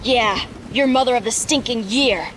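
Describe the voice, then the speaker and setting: A woman's voice: fairly high pitch, normal speed, noisy background, close to an everyday voice. A woman playing an earnest, brave young heroine in an audio drama, distressed and indignant. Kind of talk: audio drama character acting.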